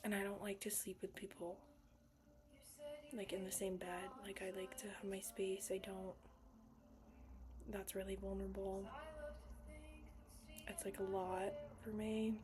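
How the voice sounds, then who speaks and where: Whispering young woman, flat delivery. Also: medium pitch, unhurried, long pauses, a crowd in the background. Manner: hushed whispering, frank and profane. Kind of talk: casual personal storytelling.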